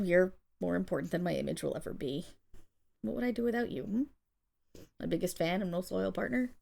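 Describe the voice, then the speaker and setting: Casting: actress as female supervillain for roleplay audio, creaky mid-range voice, dramatic and grandiose yet easily flustered and affectionate. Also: medium pitch. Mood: tender, affectionate. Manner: theatrical villain declaiming that flips into flustered, affectionate chatter. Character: bashful, lovestruck female supervillain rehearsing world domination.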